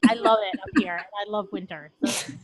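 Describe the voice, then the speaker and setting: A woman from Illinois, relaxed and matter-of-fact, introducing herself in a casual group chat over a video call. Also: mid-range voice, moderate pace, slight background noise.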